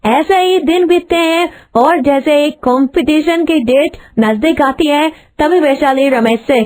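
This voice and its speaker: Female story narrator, high voice, scripted storytelling read. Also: a little slow, darkish tone.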